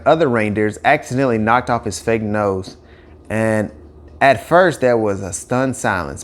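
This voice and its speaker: A man narrating a scripted children's story with expressive, emphatic phrasing. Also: lowish voice.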